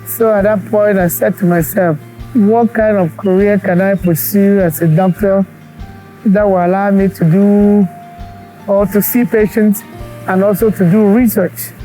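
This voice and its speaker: A man in his forties from Cameroon, a doctor and cancer researcher, speaking earnestly and reflectively in a documentary interview, pausing as he thinks. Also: sits high, steady tone, warm tone, faint music behind, rough recording. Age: 40s. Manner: earnest and reflective, with thoughtful pauses.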